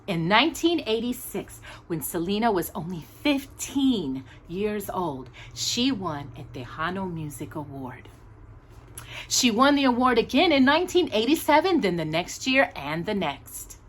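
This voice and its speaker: A woman with a slightly husky voice reads a children's picture book aloud as a storytime host, stressing key words expressively. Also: medium pitch, slight background noise. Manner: animated, emphatic storytelling with pauses on key words.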